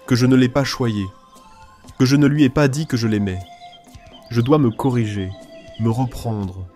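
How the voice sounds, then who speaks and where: A once-jealous husband from an animated film, voiced by a man, talking himself calmly toward kindness. His voice sits at an ordinary pitch, earnest and measured, with faint music underneath. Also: natural voice. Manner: earnest inner monologue. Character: jealous husband turned thoughtful, adult man.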